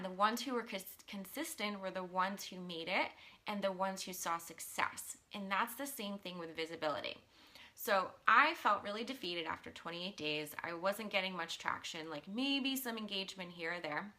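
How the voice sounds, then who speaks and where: Woman in her 30s, live-stream coach, bright tone, slightly hoarse, earnest chatty delivery with ums. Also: medium pitch, moderate pace. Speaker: mindset and business coach. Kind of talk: casual live-stream talk, reading viewer comments.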